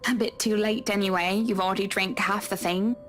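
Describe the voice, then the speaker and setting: An actress playing a poised wife in a visual novel, gently reproachful and sweetly evasive, measured and sugary. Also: female voice, medium pitch, steady tone, slight background noise, close to an everyday voice. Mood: placating.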